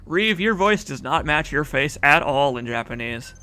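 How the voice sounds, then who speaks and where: A man's voice: on the high side, expressive, moderate pace, sharp tone, clean tone. A young man casually chatting through a game stream, reading out chat comments and rambling with fillers. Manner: chatty, rambling, reads chat aloud, laughs often.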